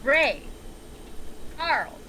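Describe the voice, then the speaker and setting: A woman's voice: high voice, very animated, drawn-out words, pushed and bright, exaggerated voice. A woman at a table reading playing a flippant, foul-mouthed criminal sidekick. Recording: faint room noise.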